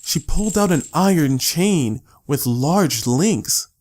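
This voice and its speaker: A man's voice: on the high side, animated, takes it slowly, darkish tone. A man reading scripted story narration as the narrator of an audiobook.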